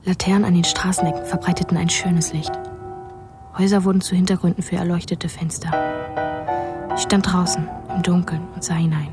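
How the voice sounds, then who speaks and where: Low female voice, detached laconic narration, a furtive boy's memories acted by a woman, radio play, clear music behind. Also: flat delivery, fairly quick, lightly acted. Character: narrator voicing the memories of a bereaved, furtive boy.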